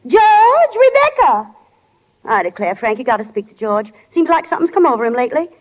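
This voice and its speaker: Muffled vintage radio sound of a brisk small-town mother. Her voice is high and very animated, homespun and firm in old drama style. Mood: fussing, firm. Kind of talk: 1940s radio acting. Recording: muffled 1940 radio broadcast.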